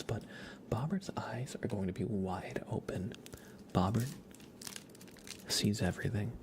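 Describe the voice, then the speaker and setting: A man livestreaming a game in ASMR style, softly whispering in a relaxed, casual way as he thinks aloud and chats with viewers. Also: lowish voice, noisy background. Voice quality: soft, breathy whisper.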